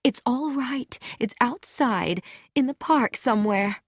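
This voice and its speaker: A woman voicing a serene, soft-spoken older woman. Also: fairly high pitch, animated, slow pace, no room sound, studio quality, pitched above the performer's own voice, full character voice. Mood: soothing.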